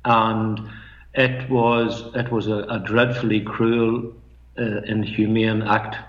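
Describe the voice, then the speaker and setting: Middle-aged Northern Irish storyteller, soft-spoken and measured, dull roomy line. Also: male voice, lowish voice, level tone. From Northern Ireland. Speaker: guest poet and storyteller. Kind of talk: reflective interview talk. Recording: muffled, roomy remote line.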